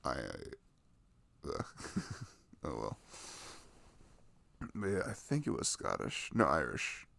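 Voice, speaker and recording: A young man playing a sweet, playful boyfriend in an intimate ASMR roleplay, rambling softly and casually close to the microphone. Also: rumbling low, huge pitch swings, takes it slowly, vocal fry, long pauses, no room sound, heavily acted. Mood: chatty, amused. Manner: soft, rambling, frequent chuckles and hums. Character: sweet, playful, affectionate boyfriend cuddling his partner.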